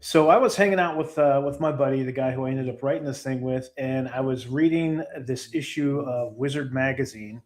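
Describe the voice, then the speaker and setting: A man's voice: medium pitch, brisk, few pauses. Laid-back man rambling through stories. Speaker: guest, comic book creator. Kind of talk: casual storytelling.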